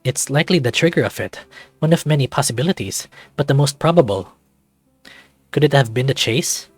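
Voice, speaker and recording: A man's voice: mid-range voice, animated, fairly quick, no echo, natural voice. A man voicing a troubled, sarcastic young man in a visual novel, introspective and self-questioning. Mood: brooding. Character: troubled, sarcastic young man brooding over old feelings. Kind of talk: visual novel character read-aloud.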